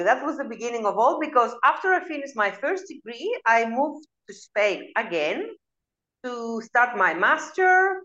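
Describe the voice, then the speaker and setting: A woman's voice: fairly high pitch, fairly bright tone, dull audio. A Greek woman in her forties, a nutritionist, answering interview questions in English with a Greek accent and frequent hesitant fillers. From Greece. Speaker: guest, nutritionist. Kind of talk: casual interview talk.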